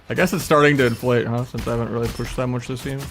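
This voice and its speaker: A man's voice: mid-range voice, normal speed, vocal fry, a bit bright, a little hiss or hum. A man giving casual, sardonic commentary on his own ranked match, muttering and swearing freely. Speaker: game streamer.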